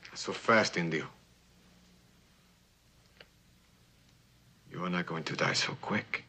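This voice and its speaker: An actor playing a cocky, taunting gunslinger bandit with a theatrical, goading manner in an old television western. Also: male voice, low voice, moderate pace, sharp tone, breathy edge, heavily acted. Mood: threatening.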